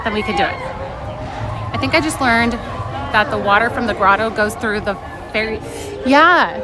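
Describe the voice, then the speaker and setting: A woman chatting casually on a livestream, playful and teasing, often breaking off and restarting her thoughts as she answers viewers. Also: fairly high pitch. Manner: playful, teasing, self-interrupting. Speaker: live streamer reading and answering chat. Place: outdoors in a busy theme park. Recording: noisy outdoor park ambience. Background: outdoor park ambience.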